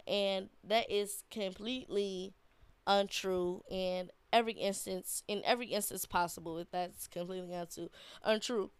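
Slow, congested young woman with a gravelly voice. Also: medium pitch, no room sound. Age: young adult. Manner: slow, laid-back slangy talk with fillers. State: congested from a sinus infection. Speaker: podcast host. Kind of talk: casual solo podcast talk.

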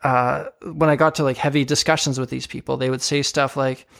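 A man's voice: mid-range voice, level tone, a little fast, gravelly, a bit bright. A man co-hosting a conversation in a casual, chatty style. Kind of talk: casual chat.

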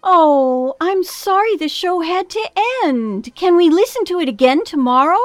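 A woman's voice: very high voice, lively intonation, measured pace. A woman playing a sweet, fretful family member in an amateur audio drama. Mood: wistful.

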